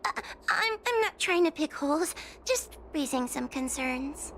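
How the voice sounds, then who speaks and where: A woman voicing a timid, earnest little girl in a video game, polite, careful and gently hesitant. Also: high voice, expressive, measured pace, slight background noise, full character voice. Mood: hesitant, concerned.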